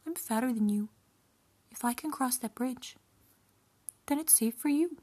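Woman doing a high, cheeky, plump goat. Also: unhurried, mellow, husky. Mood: chirpy, friendly. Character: fat middle goat, polite, cheeky, artistic.

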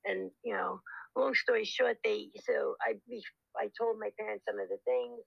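Older guest with a raspy gravelly voice, husky, rambles and restarts a lot, dull lo-fi sound. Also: medium pitch, big pitch swings, moderate pace, dry recording. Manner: rambling, lots of restarts and "you know". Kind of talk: casual storytelling in an interview. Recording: dull lo-fi recording.

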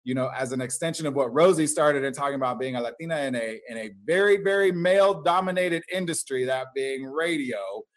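A man's voice: mid-range voice, fairly quick, few pauses. A man moderating a panel discussion, speaking in a conversational, encouraging way as he thanks one guest and hands over to the next.

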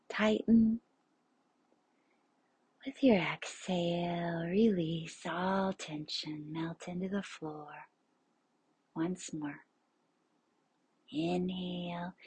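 Female meditation guide, lowish pitch, extremely slow delivery, hushed and airy, soothing, with spacious pauses. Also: no room sound. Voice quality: breathy, hushed, often near a whisper. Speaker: yoga nidra instructor.

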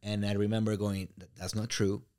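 A man with a Mexican Spanish accent and a somewhat low voice tells stories as a podcast guest. His delivery is animated, full of restarts and fillers, and he often repeats words as he builds up a point. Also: fairly quick. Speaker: real estate brokerage owner, guest. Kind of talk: casual podcast interview.

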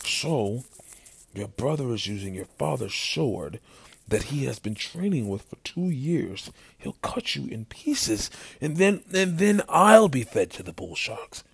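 A man's voice: a little high, very animated, moderate pace, no room sound, pitched above the performer's own voice. A man voicing an anxious, fussing young royal attendant in a story, pleading and flustered. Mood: nervous hushed.

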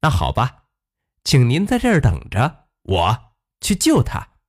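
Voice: mid-range voice, huge pitch swings, measured pace. A storyteller playing a polite, eager little pig.

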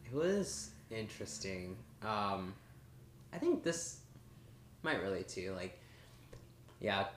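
A man's voice: fairly low pitch, big pitch swings, takes it slowly, pauses often, echoey room, a little hiss or hum. A young man co-hosting a podcast, telling stories in an easygoing, casual way. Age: young adult. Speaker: podcast co-host.

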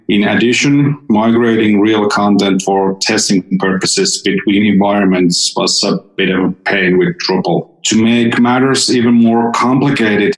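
Gravelly Finnish-accented man in a roomy space. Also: lowish voice. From Finland. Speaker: podcast guest, software developer. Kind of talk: prepared explanatory interview answers. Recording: roomy echo on the voice.

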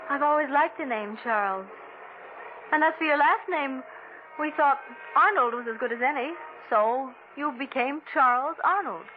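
An actress playing an anxious, tender, pleading wife in a 1940s radio thriller. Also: female voice, high voice, moderate pace, faint music behind, natural voice. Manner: pleading, concerned. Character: anxious, tender wife of an amnesiac, former nurse. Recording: tinny, noisy old radio recording.

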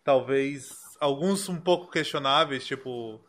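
A Brazilian man co-hosting a casual film podcast, relaxed as he recaps. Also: medium pitch, measured pace. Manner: relaxed, recapping, light laughs. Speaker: podcast co-host.

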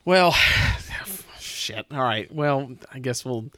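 A man's voice: mid-range voice, lively intonation, very slow, bright, forceful tone, no echo. Slangy gamer guy, dry close sound. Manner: informal, sweary, slangy. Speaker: tabletop role-playing player. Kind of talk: casual in-game chat.

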